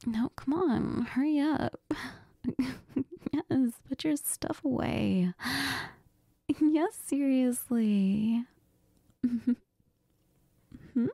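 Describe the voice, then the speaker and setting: Breathy, slow-murmuring needy girlfriend played by a woman. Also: high-pitched, mellow, no echo, studio quality, full character voice. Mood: playful, clingy, then coy, needy. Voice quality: breathy, whispery. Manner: hesitant, giggly, tender, murmuring with Spanish endearments. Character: needy, cuddly, affectionate girlfriend who missed her partner. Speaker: audio role-play performer. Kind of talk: intimate girlfriend role-play, whispery close-up.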